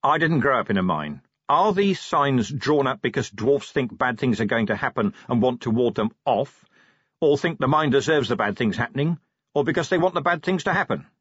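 A man voicing a gruff, weary middle-aged male police commander who talks in a blunt, terse way. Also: mid-range voice, expressive, moderate pace, a bit bright, no room sound. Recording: dry, slightly dull close-mic.

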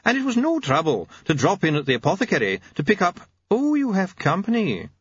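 Man as a mild-mannered guild doctor, raised pitch, genial, effusive, chatty, storybook character. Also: wildly swooping pitch, unhurried, a bit bright, breathy edge, dry recording, lo-fi sound, heavily acted. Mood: cheerful.

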